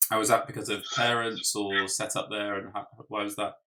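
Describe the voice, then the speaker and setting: A man interviewing casually, putting his questions in a halting, informal way. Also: fairly low pitch, flat delivery, sharp tone. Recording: slightly distant microphone.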